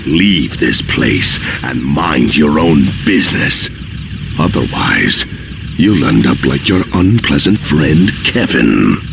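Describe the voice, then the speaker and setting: Very deep gravelly alien villain. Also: male voice, very animated, background noise, heavily acted. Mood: cold menace. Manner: slow, deliberate, condescending. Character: menacing, arrogant alien villain, adult male, coldly threatening. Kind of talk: video game cutscene acting.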